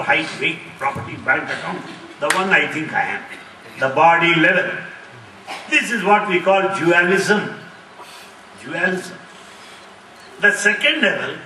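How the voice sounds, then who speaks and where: A middle-aged man teaches an audience with lively swings of pitch and a sharp, bright tone. He repeats his key phrases and laughs easily. The sound is roomy and distant, with faint hiss. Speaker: spiritual speaker answering audience questions. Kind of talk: lively lecture with jokes. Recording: echoey hall, distant mic, faint hiss.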